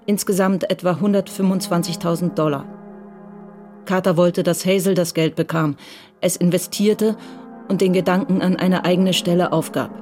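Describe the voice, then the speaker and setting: A woman narrating a radio crime drama in a calm, measured way. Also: lowish voice.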